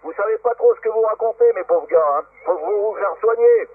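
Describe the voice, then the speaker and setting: A man's voice: high-pitched, a little fast. A man talking casually and argumentatively as an amateur radio operator over a shortwave transmission. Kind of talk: casual radio chat, bantering and arguing. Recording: very noisy, narrow-band shortwave radio. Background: loud radio static and interference.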